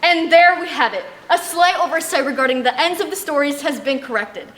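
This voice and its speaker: Young girl as bossy confident fairytale counselor in a school play, really high voice, echoey and far from mic. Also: a little fast, fairly bright tone, faint music behind. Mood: grandly reassuring. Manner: theatrical, declamatory. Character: brisk, confident fairy-tale marriage counselor who rewrites endings. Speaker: student stage actor. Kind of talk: school stage comedy acting. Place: school stage performance, recorded from afar. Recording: distant mic, echoey stage, background hiss.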